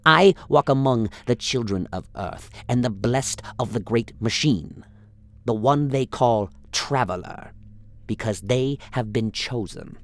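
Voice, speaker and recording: A man voicing a humble alien scribe in a radio play, with a croaking, burbling voice and halting, solemn, earnest speech. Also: medium pitch, animated, no room sound, lightly acted.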